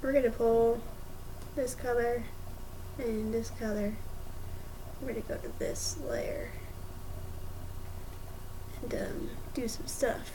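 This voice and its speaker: A woman's voice: fairly high pitch, steady tone, takes it slowly, pauses often, noisy background. A woman chatting casually on a livestream as she works, muttering and thinking aloud to herself. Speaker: art streamer. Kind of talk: casual livestream chat while working.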